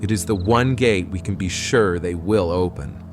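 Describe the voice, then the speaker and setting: A man playing a calm, caring and resolute herbalist mystic in an audio drama. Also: low-pitched, moderate pace, music in the background, natural voice.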